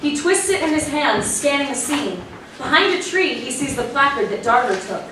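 High-voiced woman narrator in echoey room. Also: expressive, normal speed. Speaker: narrator reading stage directions. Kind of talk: scripted narration. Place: small performance room with audience, far camera. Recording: very echoey, distant, clearly noisy room.

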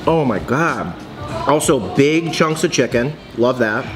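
A man in his forties vlogging in a bright coffee shop, excitable and gushing, laughing often as he tastes dishes. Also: medium pitch, measured pace, over music. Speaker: food vlogger. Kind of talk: casual food review chat. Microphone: phone camera at arm's length.

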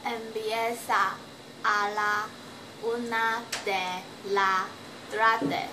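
A Taiwanese woman in her twenties, a native Chinese speaker and language student, answering questions slowly and carefully in a home video from a small bedroom. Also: medium pitch, little pitch movement, reverberant, noisy background. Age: 20s. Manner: slow, careful learner's speech with halting restarts. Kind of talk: self-recorded homework video interview.

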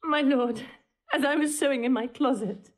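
A woman's voice: high voice, normal speed. An actress playing a frightened, obedient young noblewoman, breathless and distressed, in a classical Shakespearean audio drama.

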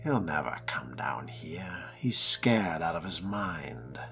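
A man's voice: deep voice, lively intonation, takes it slowly, creaky, over music, full character voice. A man roleplaying a curious, wry vampire fledgling in a video game, musing aloud with dry, theatrical phrasing. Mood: questioning.

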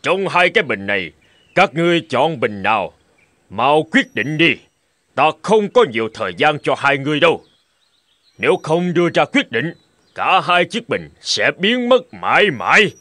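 A man's voice: fairly high pitch, sing-song, moderate pace, no room sound. A man voicing a stately old mountain god in a cartoon fable, solemn and commanding. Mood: stern, commanding. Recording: loud music behind the voice.

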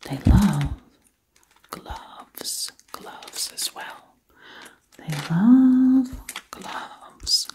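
A woman in her thirties whispers an ASMR story close to the mic, hushed, repeating words rhythmically. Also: mid-range voice. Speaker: ASMR creator. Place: small indoor room at home.

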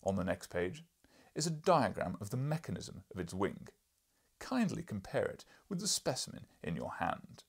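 A man's voice: lowish voice, big pitch swings, moderate pace, slightly rough, exaggerated voice. A man voicing an imperious, irascible older professor and explorer in an audiobook, lecturing pompously in a declamatory way. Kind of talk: audiobook character reading.

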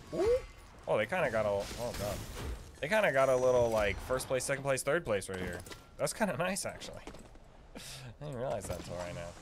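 A man's voice: mid-range voice, a bit bright, a little hiss or hum. A man in his thirties doing casual, slangy livestream commentary on a game, speaking playfully into a close desk mic. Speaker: video game streamer and guide host. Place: small indoor room, streaming setup. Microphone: close desk mic on boom arm. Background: game music.

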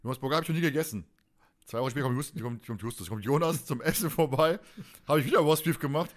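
A man co-hosting a podcast, recapping in a casual, animated, stop-and-start way and often turning to the others. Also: on the high side, fast talker. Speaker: podcast host. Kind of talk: casual fan podcast discussion.

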